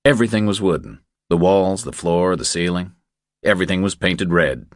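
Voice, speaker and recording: A man with an extremely low voice narrates a thriller audiobook. The sound is close and dry, as scripted storytelling. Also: moderate pace, dry recording.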